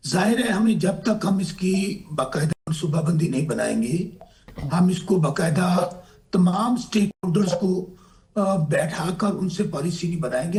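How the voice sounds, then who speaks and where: A hoarse man from Pakistan, a political guest arguing insistently in a heated talk-show debate and pressing to be heard. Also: high-pitched, darkish tone. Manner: insistent, keeps asking to be heard.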